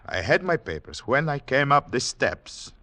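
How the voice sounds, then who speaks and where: An actor playing an earnest, weary government agent in a 1940s radio drama, speaking formally and deliberately, at times halting. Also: male voice, medium pitch, sing-song, pushed and bright. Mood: troubled. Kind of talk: 1940s radio drama acting with first-person narration. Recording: 1940s radio broadcast recording.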